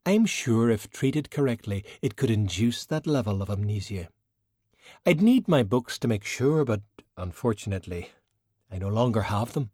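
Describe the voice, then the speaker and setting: A man's voice: on the low side, animated, moderate pace, a little mellow, slightly rough, no room sound, clean studio sound. A man voicing a poised, vain and sly woman mage in an audiobook, with an arch, theatrical manner. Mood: poised, probing.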